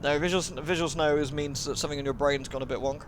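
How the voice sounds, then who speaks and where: A man's voice: fairly high pitch, fairly even intonation, fast talker, slight background noise. A man livestreaming a game, chatting casually with viewers and reading aloud. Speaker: game streamer.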